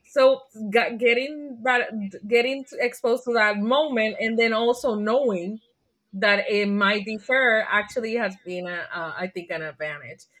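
A woman from Puerto Rico with a Puerto Rican Spanish accent, a guest answering interview questions thoughtfully, with frequent restarts and soft laughs. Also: a little high, a little slow, low-quality recording. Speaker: podcast guest.

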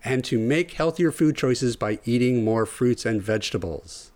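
A man hosting a podcast, reading out numbered items and laughing often in a relaxed group chat. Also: medium pitch, moderate pace. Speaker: podcast host.

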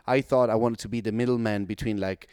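Relaxed Italian man, smooth voice. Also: fairly low pitch, fast talker, dry recording, studio quality. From Italy. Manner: relaxed storytelling, frequent "like" fillers, laughs easily. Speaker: podcast guest. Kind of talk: casual chat.

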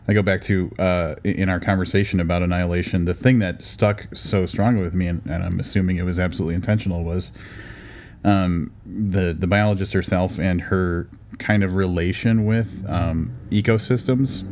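Very deep-voiced halting male interviewer. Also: darkish tone. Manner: halting and hesitant, searching for words. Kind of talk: interview conversation.

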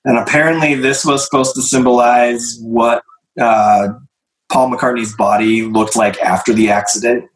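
Hoarse man presenting slides. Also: medium pitch, steady tone, normal speed, echoey room, low-quality recording. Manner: hesitant, many fillers. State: losing his voice, clearing throat. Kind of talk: casual group discussion.

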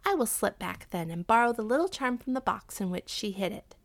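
A woman voicing a crafty, eager village wife in a storybook, with a brisk, scheming, emphatic delivery. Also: mid-range voice, expressive, gravelly, no room sound. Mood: gleeful.

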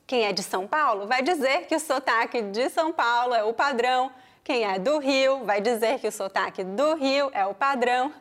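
Brazilian woman, mixed accent, high voice, teaching pronunciation slow and clear. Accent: Brazilian Portuguese, mixed regional. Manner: clear, deliberate explaining with spoken examples. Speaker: Portuguese teacher. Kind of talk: pronunciation lesson.

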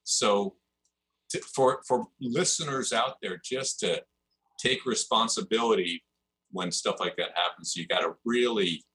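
A middle-aged martial arts instructor talking earnestly and haltingly as a podcast guest. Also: male voice, fairly low pitch, fairly bright tone, rough recording. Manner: earnest, halting, restarts often. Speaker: jiu-jitsu instructor. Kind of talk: casual chat.

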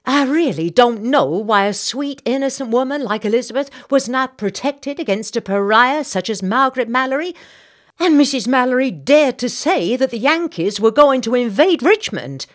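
A woman's voice: on the high side, animated, moderate pace, pitched above the performer's own voice, lightly acted. A woman voicing a fretful, bossy, gossipy Southern society matron in an audiobook, with an American Southern accent and an indignant, insistent manner. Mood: indignant gossip.

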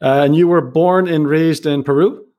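A man hosting an interview podcast, reading a measured intro and then asking questions in a friendly, chatty way. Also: a little high, steady tone, darkish tone. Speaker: podcast host. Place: Kuala Lumpur.

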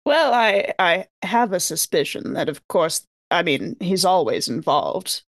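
A woman's voice: on the low side, sing-song, measured pace, no echo, clean studio sound. A woman playing a formal scholarly investigator in a tabletop game, speaking in wordy, careful, precise phrases. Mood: measured, wary.

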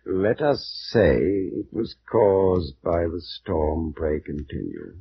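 An actor playing a haunted, morbid gentleman of a cursed mansion, speaking in a portentous, theatrical old-time radio manner. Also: sits low, sing-song, slow, gravelly, heavily acted. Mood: brooding, resigned. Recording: muffled, noisy 1940s radio recording.

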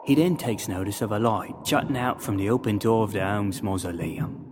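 A man's voice: fairly low pitch, moderate pace, noisy background, natural voice. A man playing a florid, theatrical storyteller who was once a London street lad, narrating a dramatic serial. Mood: suspenseful.

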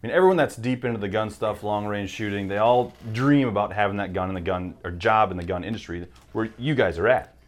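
A man interviewing guests in a casual chat, asking questions haltingly with restarts. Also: on the low side.

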